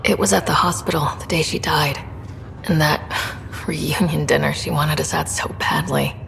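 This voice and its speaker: A woman's voice: sits low, fairly even intonation, moderate pace, creaky, fairly bright tone, heavily acted. A woman playing an earnest, caring young explorer in a sci-fi video game, talking in a subdued, conversational way. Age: young adult. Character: earnest, caring young female space explorer, twin sister. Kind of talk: naturalistic video game dialogue acting. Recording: reverberant room, faint ambient noise.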